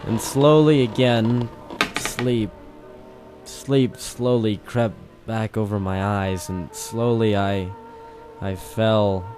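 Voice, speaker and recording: A man's voice: fairly low pitch, fairly even intonation, slow pace. Man playing a hardboiled, weary private eye over music. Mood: drowsy narration. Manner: wisecracking, theatrical noir delivery. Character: world-weary hardboiled male private detective narrator, ex-Marine. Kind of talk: old-time radio detective drama acting. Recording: music behind the voice.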